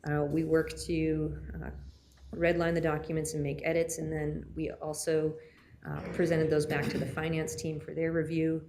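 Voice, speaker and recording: Flat-voiced woman presenting grant policy. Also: sits low, moderate pace, noisy background. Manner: steady, many fillers, trailing off quietly. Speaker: city grants staff presenting to council. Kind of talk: formal council presentation. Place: city council chamber.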